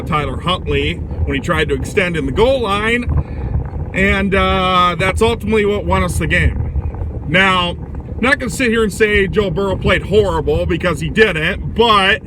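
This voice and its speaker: A man with a loud voice giving a casual, rambling sports rant while driving, blunt and opinionated, swearing now and then. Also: on the high side. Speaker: vlogger giving football commentary. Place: inside a moving car. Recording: clear vehicle and road noise.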